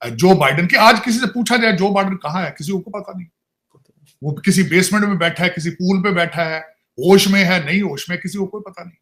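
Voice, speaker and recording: Emphatic Pakistani man, fairly high voice. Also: fairly quick, fairly bright tone. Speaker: talk show panelist. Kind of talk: unscripted political discussion.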